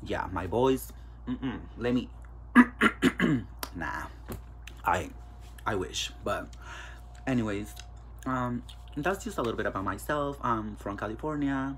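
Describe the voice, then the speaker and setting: A man's voice: mid-range voice, expressive, slow, pauses often, fairly bright tone, slight background noise. A man in his twenties with a Mexican accent, talking candidly and bluntly with lots of fillers and swearing as the host of a solo podcast. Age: 20s. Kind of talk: casual solo podcast monologue.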